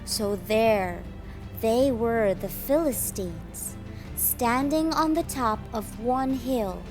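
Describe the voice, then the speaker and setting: A woman storyteller with a fairly high voice reads to children very slowly. Her delivery is dramatic and expressive, with music playing underneath. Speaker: storyteller, teacher. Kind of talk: children's story read-aloud.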